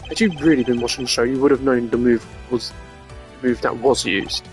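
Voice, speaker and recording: A man voicing a stern, formal gentleman prosecutor from a video game who questions pointedly. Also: medium pitch, steady tone, brisk, soft background music, natural voice. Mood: accusing.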